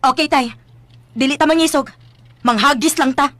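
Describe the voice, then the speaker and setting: Woman acting very high-voiced feisty Filipino daughter. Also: normal speed, over loud music, lightly acted. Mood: resolute. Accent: Filipino, Cebuano. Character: feisty, protective young daughter of a farmer. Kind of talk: Philippine radio drama acting.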